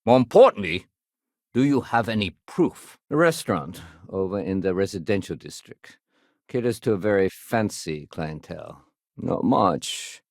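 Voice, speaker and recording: A man playing a dutiful, fair-minded security sergeant in a video game, speaking in an earnest, measured, official way. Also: low voice, lively intonation, creaky, no echo, studio quality, heavily acted. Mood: businesslike. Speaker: game voice actor. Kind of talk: video game dialogue acting.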